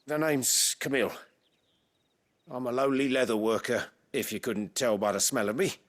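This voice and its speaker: A man's voice: medium pitch, moderate pace, fairly bright tone, natural voice. A man playing a humble, talkative leather craftsman in a video game, wry and self-deprecating. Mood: wry, chatty. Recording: game music under the voice.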